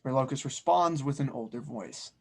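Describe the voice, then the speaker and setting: A man's voice: medium pitch, normal speed, gravelly. A man acting as host and narrator, introducing and reading stage directions at a script table read.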